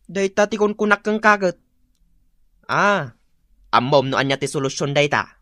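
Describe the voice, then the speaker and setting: A man's voice: fairly high pitch, animated, moderate pace, a bit bright, no echo, studio quality. A man acting an exasperated, teasing village husband with an Ilocano accent in a comedy radio drama. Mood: reasoning, teasing.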